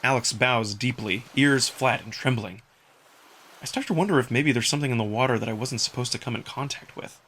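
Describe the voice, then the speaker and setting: A man narrating a first-person visual novel story in an expressive, dramatic style. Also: mid-range voice, brisk.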